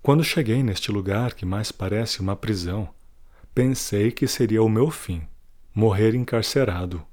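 A man playing a weary, guilt-ridden prisoner who confides a farewell testimony in a solemn, deliberate audio drama monologue. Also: on the low side, a little mellow. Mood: grim, resigned.

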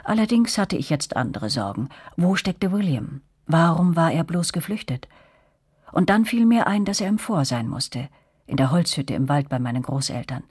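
A woman playing a young narrator in a radio play, recalling events in a measured, reflective way. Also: sits low, sing-song, darkish tone, breathy edge, no echo, studio quality, heavily acted.

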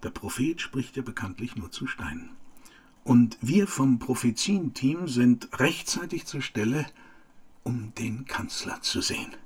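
Casting: gushing, self-important reporter, mid-pitched and husky, satirical live commentary. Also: male voice, lively intonation, a little slow. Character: pompous, gushing male live television reporter. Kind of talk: parodic live event commentary.

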